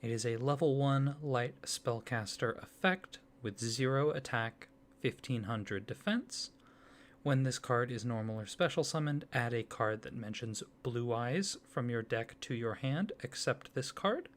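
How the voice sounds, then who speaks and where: A man speaking softly and warmly in an ASMR roleplay as a friendly card designer, gently enthusiastic. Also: medium pitch, a little slow. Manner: soft-spoken, gently enthusiastic. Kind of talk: soft-spoken ASMR roleplay.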